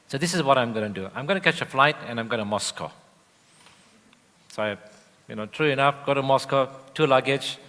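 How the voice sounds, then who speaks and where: A man from Indonesia, a guest speaker telling his life story on stage in a relaxed way with frequent fillers. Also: mid-range voice. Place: large event hall on stage. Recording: long hall reverb.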